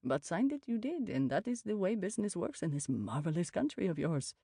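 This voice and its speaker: A woman acts out a middle-aged Indian landlord for an audiobook. He has a lilting British Indian accent and a mellow tone. His manner is formal, breezy and pleased with himself. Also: sits high, sing-song, brisk, slightly rough, no echo, clean studio sound, heavily acted. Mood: smug, effusive. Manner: formal, effusive. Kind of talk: audiobook character acting.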